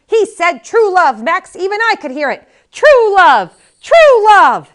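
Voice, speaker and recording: A woman voicing a tiny ancient wife who scolds in a shrill, furious way. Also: animated, normal speed, sharp tone. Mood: shrieking.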